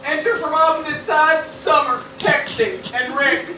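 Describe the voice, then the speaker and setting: A woman's voice: high-pitched, steady tone, a little slow, sharp tone, soft background music. A woman narrating stage directions for a comedic amateur play in a scripted reading style. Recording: roomy, distant, very noisy.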